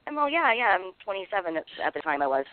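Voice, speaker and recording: A woman's voice: mid-range voice, big pitch swings, quick pace, no echo. A woman in her thirties co-hosting a casual radio talk show, teasing and laughing often. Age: 30s. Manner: giggly, teasing. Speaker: radio co-host. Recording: dry phone-like radio line.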